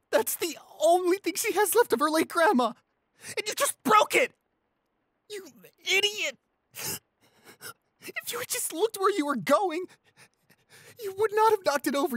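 Man acting a distraught, high-pitched anxious boyfriend. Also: no room sound, studio quality. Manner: stammering, frantically repeating himself. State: distraught, tearful. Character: shy, anxious, self-blaming young boyfriend in panic. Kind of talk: amateur boyfriend roleplay audio drama.

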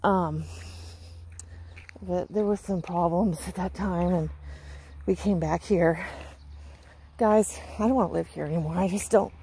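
Low, gravelly American woman chatting. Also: a little slow, pauses often, dark tone. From Washington State, US. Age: middle-aged. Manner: folksy, chatty while walking. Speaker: vlogger. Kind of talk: casual chat to viewers. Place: outdoors on a rural residential road. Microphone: handheld phone at arm's length. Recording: noisy outdoor phone recording with clicks.

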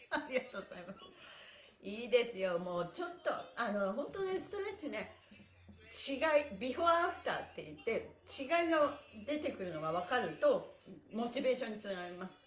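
A middle-aged woman cheerfully leading a livestream stretching class, encouraging and chatting with viewers, often with laughter in her voice. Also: high voice. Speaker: stretching instructor and livestream host. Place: small indoor room with wooden floor. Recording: echoey bare room.

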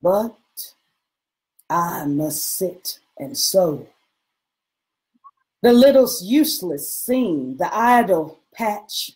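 A woman giving a dramatic poetry recitation in a measured, solemn and impassioned manner. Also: on the low side, expressive, darkish tone, rough recording.

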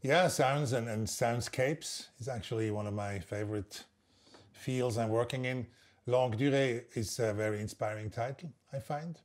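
A man's voice: medium pitch, moderate pace. A man in his sixties from Switzerland with a Swiss German accent, a native German speaker, explaining his work thoughtfully in short phrases in an interview. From Basel, Switzerland. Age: 60s. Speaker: interviewed composer and percussionist. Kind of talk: reflective interview conversation. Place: indoor room at a large wooden table under glazed roof.

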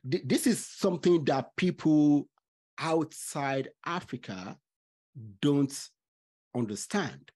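A middle-aged man from Nigeria, hosting a podcast from a home study with a studio mic, speaking deliberately with stuttering restarts. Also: on the high side, expressive, a little mellow. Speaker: podcast host. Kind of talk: casual interview chat. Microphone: studio mic with headphones.

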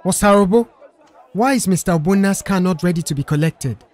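A man voicing a young male mechanic in an audio story, measured and controlled. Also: sits high, darkish tone, a faint crowd, voice pushed up, close to an everyday voice. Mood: tight, stern. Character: calm, polite young male mechanic of few words.

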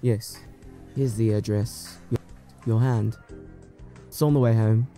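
Man acting a dark-voiced, irritable, sardonic man. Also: lowish voice, steady tone, slow pace, soft background music. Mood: curt, stern. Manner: curt, sardonic. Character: irritable, spiteful, secretive man with cutting sarcasm. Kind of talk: dubbed webcomic voice acting.

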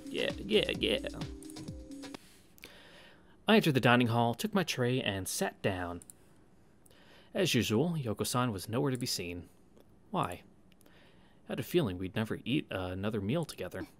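A man casually reading a visual novel aloud as a streamer, with halting, wry asides. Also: mid-range voice, sing-song. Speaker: let's play streamer. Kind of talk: casual read-through of a visual novel with commentary. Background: game music.

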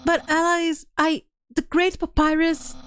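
A woman's voice: very high-pitched, little pitch movement, a little slow, fairly bright tone, dry recording. A woman voicing a vain, earnest male skeleton from a video game in a pompous, melodramatic manner. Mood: dramatic, earnest. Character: vain, earnest, theatrical male skeleton, bombastically self-confident. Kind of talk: dubbing video game dialogue on a livestream.

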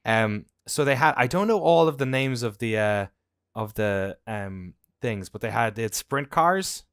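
An Irish man in his thirties, a podcast co-host telling anecdotes casually in an animated, informal way. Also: medium pitch, measured pace, clear, resonant tone, no echo, studio quality. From Ireland. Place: indoor room at home on a video call.

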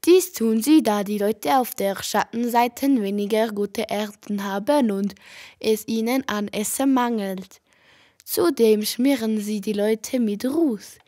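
A woman playing a slow-speaking young newcomer. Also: a little high, dark tone. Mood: curious, earnest. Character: curious, stubborn young foreign woman newcomer. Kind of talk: amateur school radio play.

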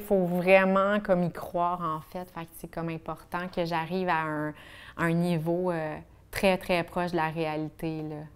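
Smooth, flat-voiced Québécois woman. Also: lowish voice, measured pace. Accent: Québécois French. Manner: chatty, many fillers, gestures while talking. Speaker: photographer. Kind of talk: casual documentary interview. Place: artist studio.

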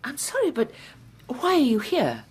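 Proud widow, husky and expressive. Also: female voice, sits high, normal speed, full character voice. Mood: guarded. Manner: formal, curt. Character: cold, proud Victorian widow, formal and guarded. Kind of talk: radio drama acting.

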